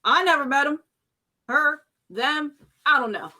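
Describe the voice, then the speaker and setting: A brash vampire wolf woman, acted by a woman in a tabletop game. Her voice is fairly high and sharply bright, and she talks casually, with slang and swearing. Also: a little slow, full character voice. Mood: puzzled.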